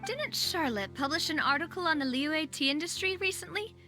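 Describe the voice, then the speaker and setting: A woman playing a chatty, polite, curious young woman in a video game, with a chirpy and expressive delivery. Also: sits high, brisk. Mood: casual, informative. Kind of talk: animated video game voice acting. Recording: faint background music.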